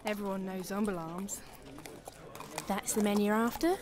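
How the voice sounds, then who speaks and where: A woman's voice: medium pitch, fairly even intonation, moderate pace, noisy background, natural voice. A woman playing a cheeky young street urchin boy in a radio drama. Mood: helpful, cheeky.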